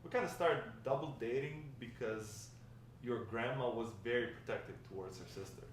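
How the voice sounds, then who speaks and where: Grandfather role, read by a man: fond, halting storyteller at a table read, slightly higher male voice, distant mic. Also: lightly acted. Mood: reminiscing. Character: grandfather fondly recounting his wild, lovestruck youth. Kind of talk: table read of a dramatic script. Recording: echoey room, distant mic, faint noise.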